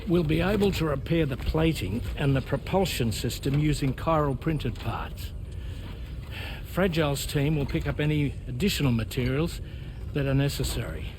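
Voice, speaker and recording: An actor playing a calm older male ship captain in a video game, speaking in a measured, reflective way. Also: a little high, noisy background, lightly acted. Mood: calm, explanatory. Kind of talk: video game cutscene dialogue.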